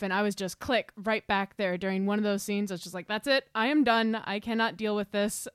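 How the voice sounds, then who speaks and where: A woman's voice: medium pitch, smooth, no room sound. Female podcast co-host: clean resonant tone, personal and earnest, close dry recording. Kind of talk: casual, personal podcast conversation.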